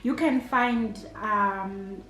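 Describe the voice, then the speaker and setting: A woman's voice: mid-range voice, very slow, a bit bright. A Zimbabwean woman in her thirties, an entrepreneur and chef, answering interview questions in a hesitant way, with many fillers and restarts. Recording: echoey room.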